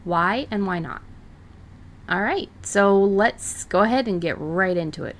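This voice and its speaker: A woman's voice: medium pitch, animated, a little slow. A woman hosting a podcast in an easy, conversational way. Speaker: podcast host. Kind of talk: scripted introduction and casual co-host chat.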